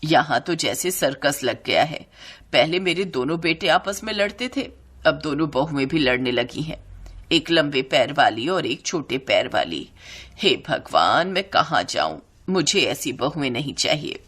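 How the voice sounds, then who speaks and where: A woman's voice: deep voice, animated, measured pace, a bit bright, slightly rough, voice pushed down, full character voice. A woman voicing a stern, bossy older mother-in-law who scolds and grumbles in a family story. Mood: exasperated, angry.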